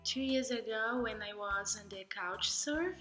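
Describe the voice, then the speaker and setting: A woman's voice: fairly high pitch, unhurried, fairly bright tone, faint music behind. A woman, a student answering interview questions in slightly broken English. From Brazil. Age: 30s. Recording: echoey room.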